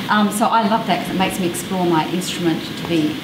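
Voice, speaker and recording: An Australian woman from South Australia, an orchestral double bass player, talking reflectively and with enthusiasm in an interview. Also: a little fast. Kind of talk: casual interview. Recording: echoey room with background noise.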